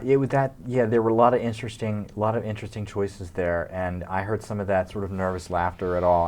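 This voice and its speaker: A man co-hosting an interview, asking questions in a deliberate, halting, rephrasing way. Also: sits low. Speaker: interviewer, co-host.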